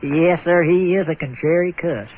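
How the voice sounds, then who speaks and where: An actor playing a folksy, excitable manure hauler with a Southern American accent, stammering and drawling in an old-time radio comedy. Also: male voice, fairly high pitch, normal speed, close to an everyday voice. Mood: cheerful. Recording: muffled radio sound with faint noise.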